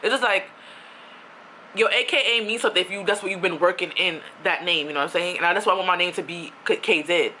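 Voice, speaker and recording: A woman's voice: lowish voice, quick pace, slight background noise, rough recording. A young woman from New York, ranting casually on her own vlog in a chatty, slangy way. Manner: chatty, animated, with slang and asides. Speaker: YouTuber.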